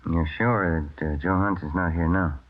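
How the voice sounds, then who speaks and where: An actor playing a calm, polite police detective, the junior partner in a 1950s radio crime drama. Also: male voice, moderate pace, natural voice. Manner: low-key, polite. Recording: dull old radio recording.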